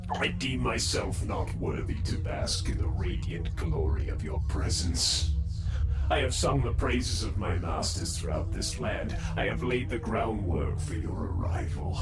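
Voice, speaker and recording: A man's voice: medium pitch, roomy sound, distant mic, lightly acted. A man playing a fanatical, grovelling cultist villain in an audio drama, theatrical and grandiose.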